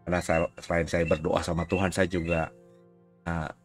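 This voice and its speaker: A man's voice: sits low, little pitch movement, normal speed. A male pastor speaks. He preaches conversationally, full of small tags and asides, and is getting over a cough. Faint music plays underneath his voice. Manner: informal, frequent "ya" tags and asides. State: recovering from a cough. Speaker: pastor giving a recorded sermon. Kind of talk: conversational sermon.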